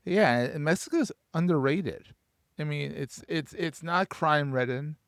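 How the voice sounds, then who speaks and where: A man's voice: a little high, a little slow, dry recording, studio quality. A man hosting a podcast interview, chatting casually and jumping in with playful, enthusiastic remarks. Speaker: podcast host.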